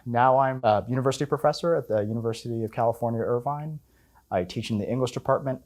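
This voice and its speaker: Relaxed man, low voice, chatty. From United States. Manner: relaxed, many fillers, self-correcting. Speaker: interviewee. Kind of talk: oral history interview.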